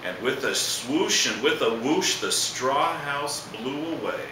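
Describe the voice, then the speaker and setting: A man's voice: mid-range voice, expressive, slow pace. A man reading a children's storybook aloud as a storyteller, adding asides. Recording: echoey, distant, noisy room recording.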